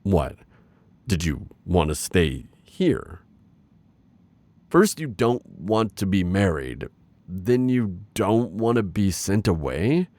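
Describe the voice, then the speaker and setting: Dark warlord, male actor, ASMR villain romance. Deep, dry close recording, theatrical, commanding yet easily flustered. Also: very animated, dry recording, heavily acted. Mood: stern, guarded. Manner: halting, theatrical, swinging between commanding and flustered. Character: brooding male dark warlord, haughty, flustered, secretly smitten. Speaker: audio roleplay voice actor.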